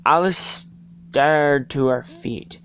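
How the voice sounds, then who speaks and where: A person giving an amateur dramatic reading of a storybook, halting and stumbling over the words. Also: mid-range voice, a bit bright, a little hiss or hum. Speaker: reader.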